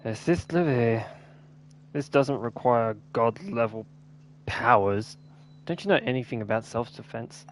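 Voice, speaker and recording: A man's voice: mid-range voice, lively intonation, unhurried. A man giving casual gameplay commentary, muttering and swearing as he plays. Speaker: game streamer.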